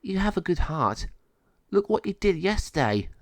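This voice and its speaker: Man voicing a kind, high-pitched female dog. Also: big pitch swings, moderate pace, no echo. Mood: caring. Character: young female dog, kind and alert pack leader.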